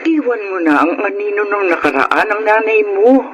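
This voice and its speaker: A woman's voice: medium pitch, animated, normal speed, close to an everyday voice. A woman with a Filipino accent playing a frank, concerned older aunt in a radio drama. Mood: stern, advising. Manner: admonishing, frank. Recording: noisy background.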